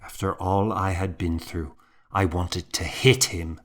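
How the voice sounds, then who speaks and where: A man narrating a historical novel in the first person, with a measured, dramatic storytelling manner. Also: low-pitched, steady tone, a bit bright. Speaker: audiobook narrator.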